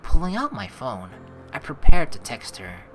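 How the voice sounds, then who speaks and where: A woman doing gameplay commentary, reading story text aloud expressively and reacting to it in an animated way. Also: low-pitched, moderate pace, rough recording. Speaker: let's play host reading a visual novel aloud. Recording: game music behind the voice. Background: game music.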